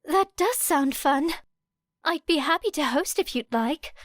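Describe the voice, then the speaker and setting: A woman's voice: high-pitched, animated, a little slow, no echo, studio quality, pitched above the performer's own voice. A woman voicing a gracious teenage girl with a courteous, formal manner in an audiobook story. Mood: pleased.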